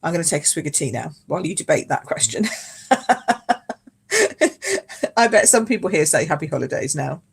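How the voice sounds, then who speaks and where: A British woman with a croaky voice, hosting a live card-making class from home, chatting and greeting viewers by name as she works. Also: sits low, expressive, a little fast, long pauses. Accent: British English. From United Kingdom. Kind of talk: casual live craft demo, chatting with viewers. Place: home craft space.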